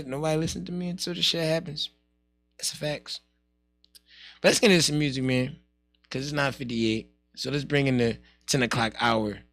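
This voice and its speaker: Gravelly, forceful American male radio host. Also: medium pitch. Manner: repeats phrases for emphasis; emphatic, streetwise slang. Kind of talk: casual morning radio show patter.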